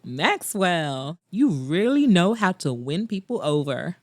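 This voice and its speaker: A woman's voice: lowish voice, very animated, slow, no echo, studio quality, exaggerated voice. A woman voicing a meddling, teasing female friend with a playful manner in a romance audiobook. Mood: delighted. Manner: playful, nudging.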